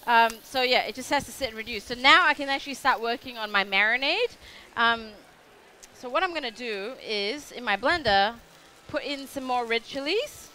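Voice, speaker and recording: A young Australian woman talks a live crowd through a recipe on a show-stage kitchen, chatty and rambling, full of fillers. Her voice is bright and fairly high, over a faint room murmur. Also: lively intonation, moderate pace, a little hiss or hum. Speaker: cooking demonstrator. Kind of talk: live cooking demo.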